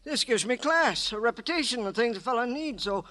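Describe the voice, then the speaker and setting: Shrill, boastful small-time hoodlum. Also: male voice, lively intonation, a little fast, pushed and bright, heavily acted. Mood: needling, wheedling. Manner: street slang, wheedling, boastful. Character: eager, swaggering small-time hoodlum confessing to murder. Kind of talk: 1950s radio crime drama acting. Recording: old radio recording.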